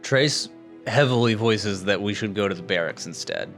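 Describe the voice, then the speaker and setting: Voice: low voice, moderate pace, a bit bright. A tabletop game player chatting casually in and out of character. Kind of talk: casual role-play chat. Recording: music bed under the voice. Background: background music.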